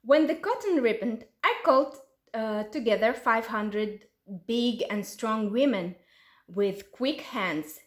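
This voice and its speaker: A woman with an Uzbek accent voicing a bold, clever young village girl in a storybook folk tale, assertive and animated. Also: a little high, slow pace. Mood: mock-earnest. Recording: roomy, echoey sound.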